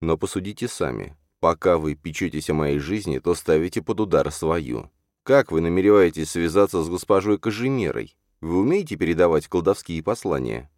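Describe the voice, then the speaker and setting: A weary, courteous coachman in an audiobook, as a male narrator plays him. His low voice is measured and polite, with a wry, stubborn sense of duty. It is recorded dry and close. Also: animated, a little mellow, no room sound. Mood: quiet, reasoning. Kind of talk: audiobook character voice.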